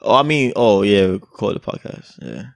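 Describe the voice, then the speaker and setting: Young man, podcast co-host, gravelly low voice, laid-back banter, dry room sound. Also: sing-song, moderate pace, dry recording. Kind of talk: casual chat.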